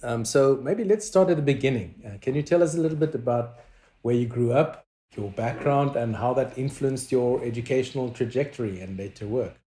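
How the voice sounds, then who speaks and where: A man hosting an interview, reading a prepared introduction and asking questions in a measured way. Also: medium pitch, dark tone. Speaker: interviewer, media professor. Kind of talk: interview host. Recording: echoey room.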